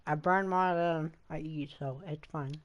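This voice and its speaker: A woman's voice: sits low, steady tone, measured pace. A woman giving casual reaction commentary as she plays a video game. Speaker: gamer commenting on playthrough. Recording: game music behind the voice.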